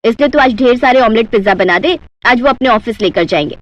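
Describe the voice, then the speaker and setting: A woman's voice: on the high side, animated, brisk, natural voice. A woman voicing a bossy, stingy rich housewife with a curt manner in a Hindi cartoon story. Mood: pleased, instructing.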